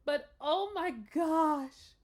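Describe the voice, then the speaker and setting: A woman hosting a casual reaction show, confiding and expressive, with dramatic emphasis. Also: sits high, extremely slow.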